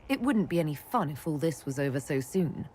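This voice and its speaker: Confident heroine, echoing hall. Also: female voice, low voice, lively intonation, a little fast, a little mellow. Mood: bold. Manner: assured, plain-spoken. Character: confident, hopeful heroic female leader. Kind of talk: dramatic fantasy video game acting. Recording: hall reverb, faint noise.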